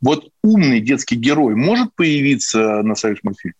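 Husky guy hosting an interview, musing and emphatic, dry slightly muffled sound. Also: mid-range voice, very animated, moderate pace, no echo. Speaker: interview host. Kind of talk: conversational interview questioning.

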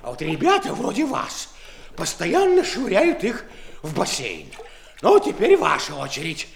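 An actor playing a blustery, bossy seal with a fussy manner in a children's audio play. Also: male voice, sits high, huge pitch swings, unhurried, gravelly, exaggerated voice. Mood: bossy, scolding. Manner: blustering, fussy, stammering when flustered. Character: blustery bossy seal, turning sheepishly polite. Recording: noisy vintage studio recording.

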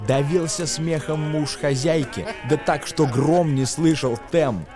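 A man's voice: on the high side, unhurried, a little mellow. Theatrical male storyteller over prominent music. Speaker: actor narrating a tale. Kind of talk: dramatic verse recitation for radio play.

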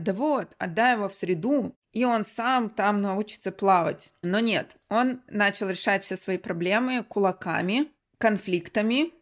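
Woman guest, conversational storyteller, mid-pitched, chatty with fillers. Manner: lively storytelling, frequent fillers. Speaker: podcast guest, English teacher. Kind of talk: casual interview talk.

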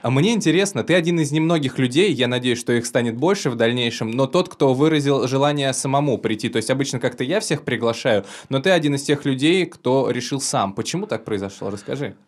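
A man's voice: medium pitch, expressive, quick pace, hardly any pauses. Chatty male podcast host. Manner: friendly, laughs often, leads the questions. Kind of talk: casual interview.

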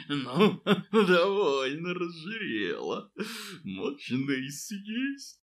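A woman's voice: deep voice, sing-song, extremely slow, pitched below the performer's own voice, full character voice. A woman voicing a greedy, drunken, boastful male wolf in a storybook tale, with a laughing, slurred drawl. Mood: mocking.

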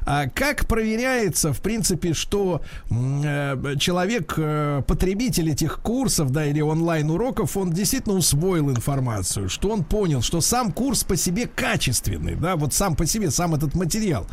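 A man hosting a live radio talk show, halting and repeating words as he frames his questions. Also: fairly high pitch, very animated.

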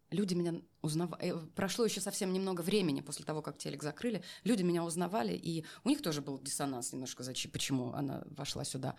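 A woman telling a candid personal story as an interview guest, reflective and emphatic, repeating phrases for weight. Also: sits low. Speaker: interview guest, voice artist and former TV and radio presenter.

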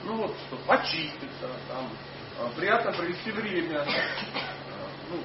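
A man with a high voice and a foreign accent lectures an audience on scripture. He speaks haltingly, with many fillers and restarts, and often puts questions to the listeners. He is picked up from a distance in an echoing hall, and the sound is dull with steady noise underneath. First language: not Russian. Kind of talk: informal religious lecture to an audience.

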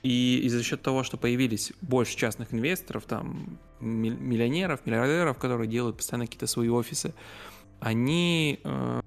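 A man with a gravelly, fry-laden voice talks casually, like a podcast host. He hesitates and rephrases often as he builds his thought. Faint music plays behind him. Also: medium pitch, moderate pace. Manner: hesitant, searching for words, with repeated self-corrections. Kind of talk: casual conversational storytelling.

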